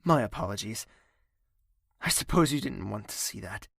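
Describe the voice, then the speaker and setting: A man playing a brooding, menacing young prince in an audio drama, speaking in a measured, gravelly, husky voice. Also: lowish voice, animated. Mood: apologetic, coaxing. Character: brooding, menacing young prince, tender toward his mother.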